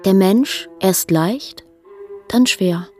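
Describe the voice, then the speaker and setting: A woman reciting sparse poetic fragments in a radio play, with pensive, measured, deliberate phrasing. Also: mid-range voice, mellow, faint music behind.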